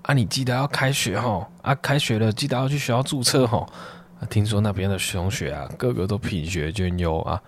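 A man's voice: lowish voice, brisk, slight background noise, close to an everyday voice. A man voicing a kindly, fussy village chief in a comic radio play, chatty and nagging with a Taiwanese accent. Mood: kindly, advising. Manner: folksy, nagging, sentence-final particles.

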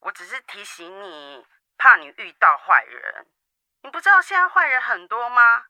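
A busy, evasive married daughter, played by a woman in a radio drama, calling her mother with one excuse after another. Her tone is very bright and sharp, and her pitch swings up and down. The sound is dry and close. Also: sing-song, moderate pace, no echo, full character voice. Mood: wheedling. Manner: wheedling and excuse-making, suspicious of others. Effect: phone call.

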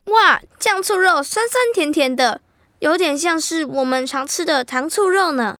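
A woman's voice: sits high, lively intonation, normal speed, a bit bright, no echo. A woman playing an enthusiastic, curious, food-loving child in an educational radio drama. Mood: curious, chatty.

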